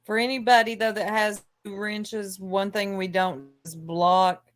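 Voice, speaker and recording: A woman co-host with an average-pitched voice. She chats in an easygoing, talkative way about the show and the people in it. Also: level tone, unhurried. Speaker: podcast co-host. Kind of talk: casual group chat.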